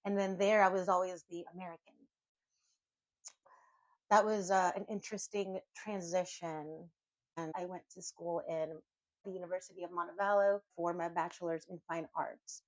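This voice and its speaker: A woman in her thirties from Venezuela co-hosts a podcast in a casual, heartfelt chat. She talks in an earnest, reflective way, in a fairly low voice. The recording is close and dry. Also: fairly even intonation, normal speed, no echo, low-quality recording. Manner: earnest, reflective, with many fillers. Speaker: podcast co-host.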